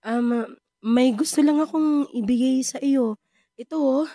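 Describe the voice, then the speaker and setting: A woman acting an anguished, guilt-ridden mother in a Filipino radio drama, with a Filipino accent and halting, repetitive delivery. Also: sits high, dark tone, dry recording, studio quality. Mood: hesitant, remorseful. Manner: halting, repeating words, tearful.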